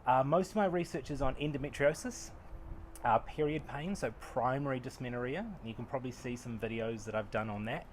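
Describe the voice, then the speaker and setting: A New Zealand man in his forties answers interview questions in a loose, self-deprecating way, full of ums and restarts. His voice sits at a middle pitch. He is on an outdoor veranda with a lapel mic, with a faint hum of outdoor noise behind him. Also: brisk. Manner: relaxed, self-deprecating, many fillers. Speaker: acupuncturist and researcher.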